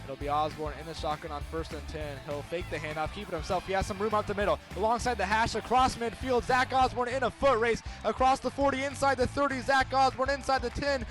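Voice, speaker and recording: A young American man giving live radio sports commentary, moving between measured description and excited, shouted calls. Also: sits high, music in the background. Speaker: play-by-play sports announcer.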